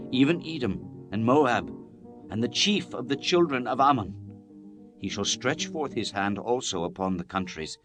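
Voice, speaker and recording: A man narrating scripture in a solemn, declamatory style, as in a dramatized Bible reading. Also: medium pitch.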